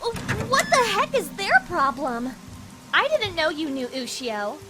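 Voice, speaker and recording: A woman's voice: very high voice, very animated, unhurried, loud music behind the voice, full character voice. A woman playing a peppy, indignant anime schoolgirl in a fan dub, exclamatory and full of questions. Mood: indignant, curious.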